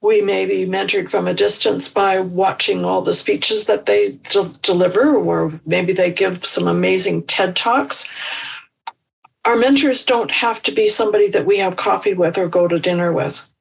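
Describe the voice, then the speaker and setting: A woman speaking as an empowerment coach in an interview, measured and thoughtful with small restarts. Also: medium pitch, vocal fry, breathy edge, far from the mic, poor audio. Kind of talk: reflective interview answers.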